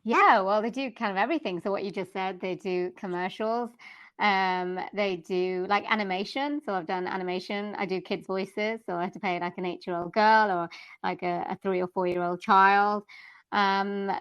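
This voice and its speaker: A woman's voice: mid-range voice, fairly even intonation, normal speed, a bit bright, clear, resonant tone, dry recording, muffled recording. A woman with a Welsh accent, a voiceover artist guest on a podcast, explaining chattily with many "um"s and "like"s. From South Wales, UK. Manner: chatty, filler-laden explaining. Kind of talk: casual interview chat.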